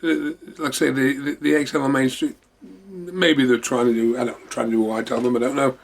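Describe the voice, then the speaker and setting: A man's voice: medium pitch, fairly even intonation, fairly quick, creaky, a little hiss or hum. A man hosting a memorabilia show, chatting casually in a rambling, repetitive way. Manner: rambling, repeating himself. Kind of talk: casual show-and-tell chat.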